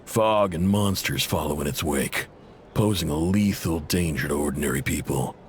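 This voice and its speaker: A man's voice: very deep voice, moderate pace, slight background noise. A man playing a proud, indebted intel broker in a video game, blunt, casual and guarded, with an exasperated edge. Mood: guarded, informative.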